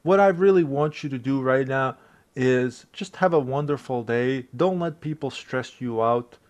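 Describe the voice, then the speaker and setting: A young man with a Bosnian accent walks through a software fix in loose, chatty style. He rambles a bit, laughs at himself and circles back with "anyways." His voice sits at an ordinary mid pitch. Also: a little slow. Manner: rambling, self-deprecating, chuckles. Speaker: tech tutorial creator. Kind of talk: casual screen-recorded tutorial.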